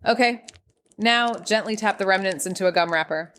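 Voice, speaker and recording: An actress playing a sardonic, restless young small-town amateur sleuth, narrating an audio drama in slangy first person. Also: female voice, medium pitch, normal speed, a bit bright, slight background noise, lightly acted. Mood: wry, muttering. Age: young adult. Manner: wry, sarcastic, slangy.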